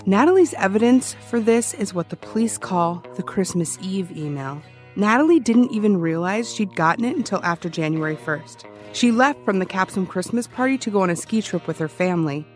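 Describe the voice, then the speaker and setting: An actress plays a defensive former policewoman turned true-crime podcaster. She narrates conversationally at a middling pitch, with wry, pointed emphasis. Music runs lightly underneath. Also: expressive, brisk, close to an everyday voice. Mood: wry, intent. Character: obsessive, defensive ex-cop woman hosting a true-crime podcast. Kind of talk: conversational scripted narration in an audio drama. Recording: music behind the voice.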